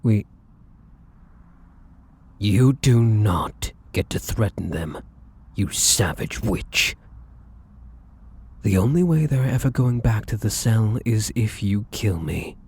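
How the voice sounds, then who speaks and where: A man playing a defiant, protective vampire in an ASMR roleplay, with husky, breathy delivery and theatrical, formal phrasing. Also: on the low side, lively intonation, slow, pauses often, a little mellow, heavily acted. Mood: pleading, then defiant threat. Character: defiant, protective young male vampire, bitter and menacing. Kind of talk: ASMR audio roleplay acting.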